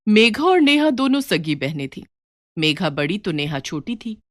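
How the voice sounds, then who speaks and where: A woman's voice: medium pitch, very animated, moderate pace, no room sound, clean studio sound. A woman narrating a scripted moral story as a storyteller.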